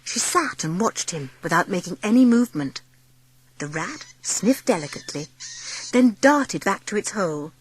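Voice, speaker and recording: A woman narrating a dramatised children's story as an expressive audiobook storyteller. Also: medium pitch, moderate pace, a little hiss or hum.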